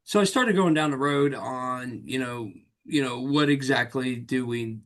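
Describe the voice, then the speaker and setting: Animated man co-hosting a podcast. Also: medium pitch. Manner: animated, self-mocking, quick asides. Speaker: podcast co-host. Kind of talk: casual podcast chat.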